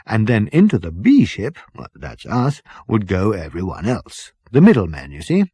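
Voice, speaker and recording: A man's voice: lowish voice, huge pitch swings, normal speed, dark tone, husky, no echo, studio quality, full character voice. A man voicing a genial, vague ship's captain, rambling politely in a comic science fiction audiobook. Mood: rambling, cheerful. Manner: rambling, hesitant, chummy, polite upper-class politeness. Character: genial, vague, dithering male ship's captain, cheerfully unbothered.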